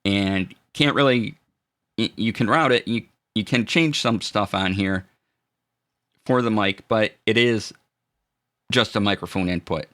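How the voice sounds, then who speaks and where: Low-voiced man demoing gear on stream. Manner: relaxed, halting explanations with fillers and restarts; greets chat viewers warmly. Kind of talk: casual live-stream tech demo. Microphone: studio dynamic mic.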